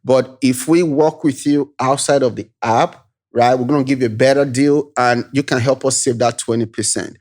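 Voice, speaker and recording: A man with a Nigerian accent tells his startup story as a podcast guest. His voice is mid-pitched and his delivery is relaxed and conversational. He leans on "you know" as he goes. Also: moderate pace, few pauses. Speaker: guest, tech founder. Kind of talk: casual interview chat.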